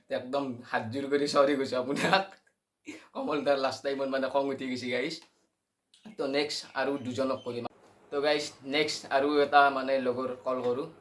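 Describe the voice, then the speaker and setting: A man's voice: medium pitch, steady tone, brisk, fairly bright tone. A man with an Assamese accent chatting casually and directly to his viewers as host of a prank call video. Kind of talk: casual vlog commentary to viewers.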